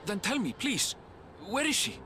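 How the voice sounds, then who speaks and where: A man's voice: sits high, wildly swooping pitch, moderate pace, pushed and bright, slight background noise, exaggerated voice. An actor playing an earnest young traveler in a video game, polite and eager in his speech. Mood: urgent, pleading. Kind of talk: scripted video game cutscene acting.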